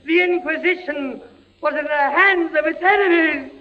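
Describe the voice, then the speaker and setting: An actor playing a tormented, terrified captive captain in a theatrical 1940s radio drama, with impassioned delivery. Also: male voice, extremely high pitch, normal speed. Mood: feverish. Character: tormented male prisoner, a captain, desperate and terrified. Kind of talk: 1940s radio acting, dramatic first-person narration. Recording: muffled, noisy 1940s radio recording.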